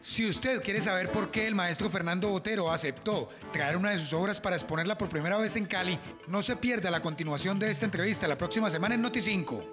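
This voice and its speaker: Fast, very high-pitched male announcer. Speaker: news announcer. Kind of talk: scripted promotional voice-over. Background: music.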